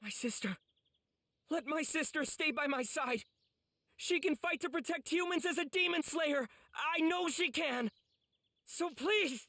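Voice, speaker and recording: Teen anime hero, high youthful voice, dry sound, earnest, heartfelt. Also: male voice, unhurried, pushed and bright, no echo, full character voice. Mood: pleading. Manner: pleading, heartfelt. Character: earnest, devoted teenage boy swordsman. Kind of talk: English anime game voice acting.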